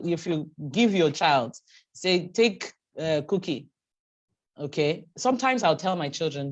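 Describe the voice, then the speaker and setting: A middle-aged woman whose first language is Yoruba, coaching someone in a one-on-one chat, repeating her points and telling everyday stories to encourage. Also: deep voice.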